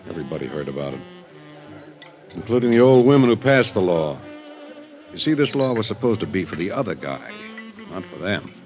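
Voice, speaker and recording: An actor playing a weary, wry ex-bootlegger in his fifties in a radio drama, musing slowly with pauses. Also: deep voice, big pitch swings, darkish tone. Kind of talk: 1950s radio drama acting. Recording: muffled 1950s radio recording with music.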